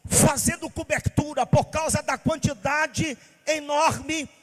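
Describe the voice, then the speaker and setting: A pastor from Bahia preaching with passion, asking rhetorical questions in a sing-song cadence. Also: male voice, very high-pitched, fairly even intonation, takes it slowly, in a large hall. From Bahia, Brazil. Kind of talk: sermon.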